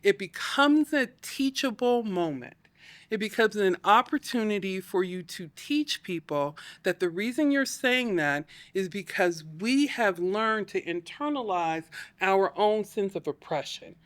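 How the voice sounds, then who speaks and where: A middle-aged woman, a scholar and podcast co-host, explaining her views in a measured, academic way during a casual conversation. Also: mid-range voice, expressive.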